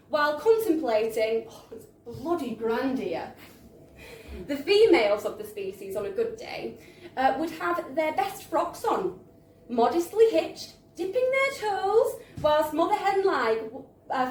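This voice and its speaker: A woman reading a story aloud live at a venue, with an expressive, theatrical delivery. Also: sits high. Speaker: reader of a book excerpt. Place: live book launch in a bar. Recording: echoey live venue, distant mic, faint noise.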